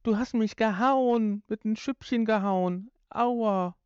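A man's voice: high voice, slow, a little mellow, clean tone, no room sound, dull audio, pitched above the performer's own voice. A man voicing a whiny child who wails in an exaggerated, plaintive, amateur-play way.

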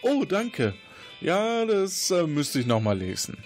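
A man's voice: sits high, sing-song, slow, soft background music, full character voice. A man playing a naive, proud and dim-witted secret agent in a comedic audio drama, hesitant and easily puzzled. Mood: defensively proud.

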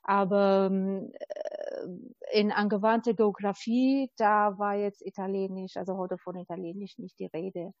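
A woman in her thirties with an Albanian accent, her first language Albanian, answering questions in an easygoing, hesitant way. The sound is dry and a little dull, with no room around her voice. Her pitch sits in a middle range. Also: fairly even intonation, measured pace, a little mellow, dry recording. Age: 30s. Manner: hesitant, many ums and restarts. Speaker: interviewee. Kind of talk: conversational interview.

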